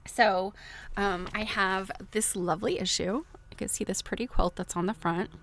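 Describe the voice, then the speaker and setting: A woman's voice: medium pitch, fairly even intonation, moderate pace, gravelly, fairly bright tone, slight background noise. A woman hosting a casual livestream, chatting to viewers in a rambling way as she thinks aloud. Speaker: livestream host.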